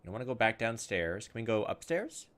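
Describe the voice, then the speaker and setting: A man doing casual gameplay commentary, thinking aloud in an informal, self-deprecating way and reading game text out loud. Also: on the low side, big pitch swings, brisk, a little hiss or hum. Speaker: gaming video host.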